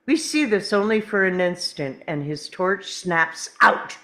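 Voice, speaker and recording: A woman's voice: mid-range voice, animated, measured pace, pushed and bright. A woman reading stage directions as dramatic narration at a script table read.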